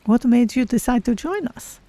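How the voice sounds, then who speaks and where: A woman voicing a gentle, courteous female cat-folk traveler, musing as she streams a video game. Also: on the high side, lively intonation, normal speed, no echo, lightly acted. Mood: amused, curious. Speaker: streamer role-playing a game character. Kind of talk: in-character commentary on a video game. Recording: faint game ambience noise.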